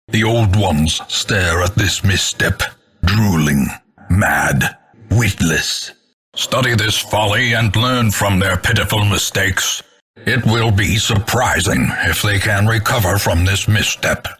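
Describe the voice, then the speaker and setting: Slow, grim announcer of doom. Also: male voice, lowish voice, sharp tone, husky, heavily acted. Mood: scornful, foreboding. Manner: grandiose, ornate, measured dramatic delivery. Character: grim ominous older male narrator of doom, contemptuous. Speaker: game announcer. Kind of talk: theatrical scripted game announcements, gothic narration.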